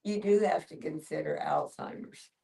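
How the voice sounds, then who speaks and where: An older woman sharing personal stories in a group discussion, with halting pauses. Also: low-pitched, vocal fry, mellow. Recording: distant mic in a room.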